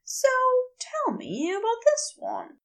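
Lady doing a fussy polite guy for a story, high and lilting, bit flustered. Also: huge pitch swings, takes it slowly, recorded from a distance, exaggerated voice. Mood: nervous blurting. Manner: polite, bright, hesitant. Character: earnest, fussy, eager-to-please man, easily flustered. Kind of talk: fan fiction audiobook character voice.

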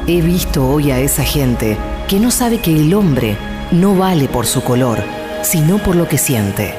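A woman's voice: low-pitched, sing-song, a little slow. A woman speaking in a short recorded programme intro, with music playing. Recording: loud music over the voice.

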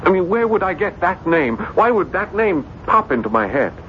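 An actor playing a tormented gentleman in a 1970s radio drama, pleading and agitated, insistent that an evil spirit is after him. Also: sits high, sing-song, normal speed. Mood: anxious, insistent. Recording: muffled, very noisy 1970s radio recording.

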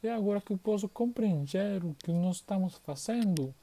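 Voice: medium pitch, animated, moderate pace, mellow. A performer voicing a 12-year-old boy from Argentina who is learning Portuguese, talking eagerly and repeating words as he searches for them.

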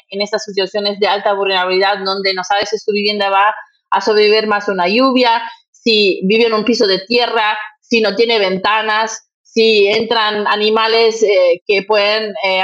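In slightly muffled, lo-fi audio, a Brazilian woman speaks as an interview guest. Her pitch is medium for a woman. She is a native Portuguese speaker and talks conversationally, working out her thoughts as she goes. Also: fairly bright tone. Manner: thinks aloud, frequent tag questions. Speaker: interview guest, nonprofit executive director.

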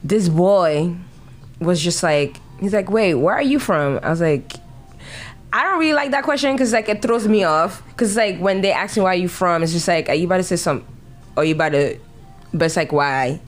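A woman from Ghana hosting a casual podcast chat, telling stories with lively quoted voices and frequent laughter. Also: lowish voice, moderate pace, soft background music. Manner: animated storytelling, acts out quoted voices, laughs often. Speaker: podcast host.

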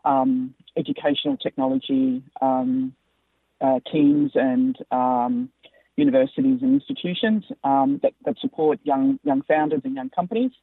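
A woman with an Australian accent, a company founder answering interview questions, speaking haltingly with many fillers. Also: a bass voice, breathy edge, no echo. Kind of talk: interview over the phone. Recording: tinny dry phone line.

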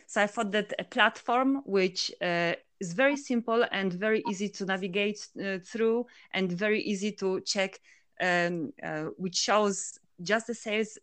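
Interview guest: woman from Poland, crisp clear tone, hesitant and filler-heavy. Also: mid-range voice, a bit bright, dry recording. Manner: hesitant, many fillers and restarts. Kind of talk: conversational interview answers.

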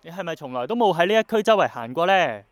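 An idealistic young Hongkonger in a radio play. His fairly high voice carries a Hong Kong Cantonese accent and a passionate, persuasive earnestness. The sound is very dry, like a studio. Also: very animated, fast talker, dry recording. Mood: passionate, wistful. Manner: passionate, persuasive, romantic-minded. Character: earnest dreamy young man obsessed with bringing back rain. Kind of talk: Cantonese radio drama acting.

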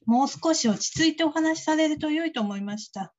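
A woman's voice: high-pitched, animated, fairly quick. A woman playing a strict, candid call-centre colleague in a radio drama, speaking formally and matter-of-factly on slightly dull audio. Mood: cool, sincere.

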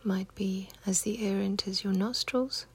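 A woman leading a guided meditation in a soft, husky, near-whispered voice, giving gentle, unhurried, soothing instructions. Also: mid-range voice, steady tone.

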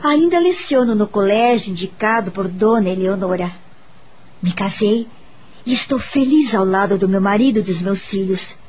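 An actress playing a mature, confident, happy woman telling her life story in a measured, reflective way in a Brazilian radio soap opera. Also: mid-range voice, expressive, a little mellow, slight background noise, natural voice. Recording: music behind the voice.

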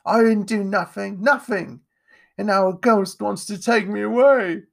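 A man voicing a poor young servant boy in a storybook, frightened and defiant, with rough uneducated grammar. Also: very high voice, normal speed, fairly bright tone, pitched above the performer's own voice, exaggerated voice. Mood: upset, defiant.

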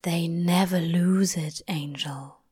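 A gruff but tender male demon from a storybook, acted by a woman narrator. He mutters slowly in a hushed, breathy murmur, with a cynical edge, close and dry. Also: fairly low pitch, dry recording. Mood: tender, sorrowful. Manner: slow, muttering.

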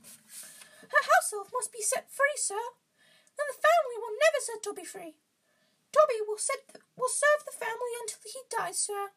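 A woman voicing a small, earnest, servile storybook house elf, very high-pitched, deferential and prone to wailing. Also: animated, normal speed, bright, forceful tone, exaggerated voice. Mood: resigned, servile. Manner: deferential, wailing, speaks in third person. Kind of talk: storybook character voice.

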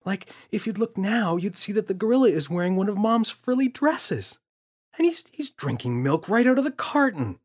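A high, pleading storybook boy, worked up and desperate to be believed, as a grown man acts him out. His voice climbs and jumps, bright and insistent, as he reports every misdeed. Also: big pitch swings, moderate pace. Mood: urgent, insistent. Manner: urgent, high, exasperated. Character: frustrated young boy insisting he is right.

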